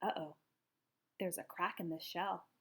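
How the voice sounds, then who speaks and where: A woman voicing a kindly, excitable farm woman from a storybook, gushing and exclamatory. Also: lowish voice, measured pace, pitched below the performer's own voice. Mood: flustered.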